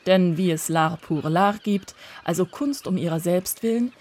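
A woman's voice: lowish voice, measured pace, darkish tone. A woman playing a liveried seagull messenger in a radio play, delivering a note in a formal, mock-solemn manner.

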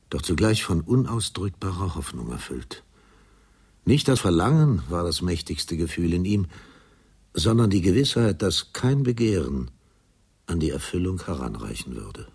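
A man narrating a literary radio drama in a husky, measured, grave manner. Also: a little mellow. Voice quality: deep, husky.

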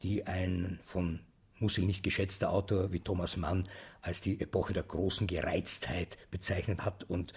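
A man giving measured, articulate literary commentary in an analytical, scholarly way. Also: deep voice, little pitch movement, darkish tone, breathy edge. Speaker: literary expert.

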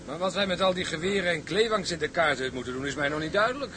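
An actor playing an uneasy, dutiful ship's mate in a Dutch radio drama. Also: male voice, fairly high pitch, lively intonation, fast, close to an everyday voice. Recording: clear background noise, ship ambience.

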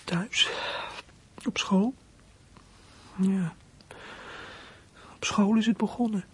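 An actor playing a sullen fourteen-year-old runaway boy in a radio play, muttering bitter thoughts to himself in a husky, breathy voice. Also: mid-range voice, steady tone, extremely slow, full character voice. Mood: weary, bitter. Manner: brooding inner monologue, muttering to himself.

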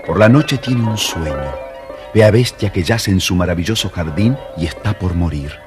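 A man narrating a scripted children's story in a measured, expressive way. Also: low voice, music in the background.

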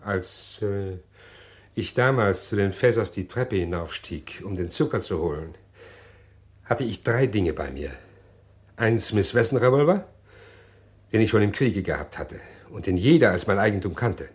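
An actor playing a middle-aged man who calmly confesses a murder plot in an old radio play, measured and hesitant. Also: on the low side. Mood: calm confessing. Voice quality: measured, deliberate, hesitant with pauses. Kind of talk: 1950s radio drama acting.

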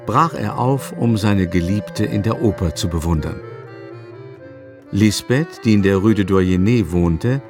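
Male narrator for literary radio drama, low measured delivery, faint music behind.

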